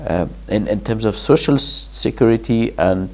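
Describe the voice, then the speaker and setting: A man from Afghanistan answering interview questions as a guest, pausing and restarting often. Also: lowish voice, animated, a little mellow. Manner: hesitant, many restarts and fillers, laughs in places. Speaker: guest, charity founder.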